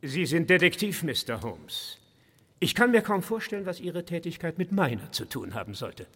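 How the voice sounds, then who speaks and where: An actor playing a haughty English lord in a radio play, formally polite and condescending. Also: male voice, a little high, big pitch swings, normal speed, fairly bright tone, breathy edge, heavily acted. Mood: stiffly courteous. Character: haughty, indignant English lord, stiffly courteous. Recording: long reverberant hall echo.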